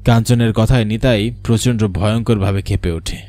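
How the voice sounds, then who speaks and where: A man's voice: on the low side, fairly even intonation, fairly quick, warm tone, soft background music. A man narrating a scripted horror cartoon story in a dramatic storytelling style.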